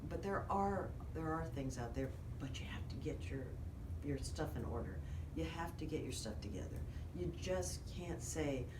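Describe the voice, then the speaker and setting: A woman's voice: low voice, expressive, moderate pace. A woman giving advice as an interview guest in a conversational, emphatic way, repeating phrases for stress. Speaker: interview guest, senior care professional. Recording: roomy echo, distant mic, clear background noise.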